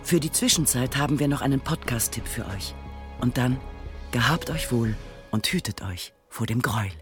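A man's voice: medium pitch, animated, moderate pace, over music. A man reading a scripted announcement as the closing announcer of an audio drama podcast. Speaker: credits announcer.

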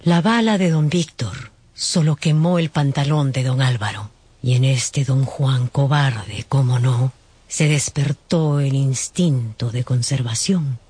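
A man's voice: mid-range voice, slow pace, darkish tone, slightly rough. A man narrating a scripted radio drama as a storyteller.

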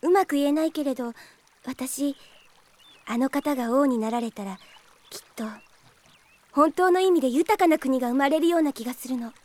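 Actress as a high-voiced, gentle young healer woman. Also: normal speed, long pauses, natural voice. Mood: earnest, pleading. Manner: soft-hearted, polite, earnest. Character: gentle, earnest young female healer, Lena. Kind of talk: Japanese anime-style radio drama acting.

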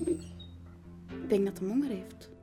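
An actress playing an indignant, melodramatic wife in a break-up, with a Flemish accent, in a comedic radio play. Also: female voice, mid-range voice, takes it slowly, over music, lightly acted. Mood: softening. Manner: melodramatic, exasperated.

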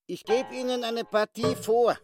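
An actor playing a cocky, boastful small-time chess hustler in a radio play, talking in a self-important, challenging way. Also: male voice, extremely high pitch, fairly even intonation, a little slow, over music.

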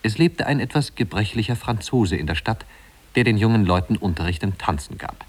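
A man with a very low voice narrates a classic tale. The delivery is scripted literary storytelling in the style of 1950s radio drama. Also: animated, a little fast, darkish tone.

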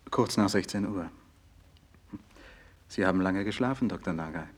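Husky, deferential young doctor's assistant. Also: male voice, low-pitched, normal speed. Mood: dutiful, concerned. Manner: formal, deferential. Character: respectful, anxious male physician, loyal former pupil. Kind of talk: 1960s German radio play acting.

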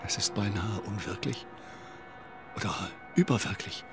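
An actor playing a sober, troubled ship passenger in a radio drama, matter-of-fact and insistent. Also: male voice, on the low side, unhurried, music in the background. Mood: grave, subdued. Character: sober, troubled male ship passenger pleading for stranded refugees.

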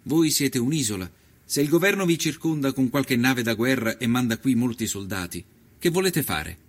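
A man playing an educated, probing journalist of the 1890s in a documentary drama, formal and measured as he interviews. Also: mid-range voice, lively intonation, natural voice. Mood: inquiring.

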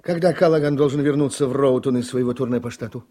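An actor playing a hard-driving, scornful political operator in a radio drama, with a commanding, rhetorical delivery. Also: male voice, mid-range voice, fast, warm tone, close to an everyday voice. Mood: brisk, probing. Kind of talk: Soviet-era radio drama acting.

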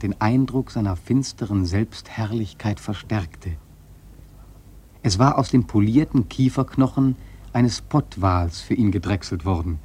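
A grave seafarer telling his tale in a literary radio play. His voice is fairly low and husky. The delivery is solemn, with dramatic emphasis. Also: a little mellow. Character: grave, awed sailor recounting his voyage. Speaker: narrator.

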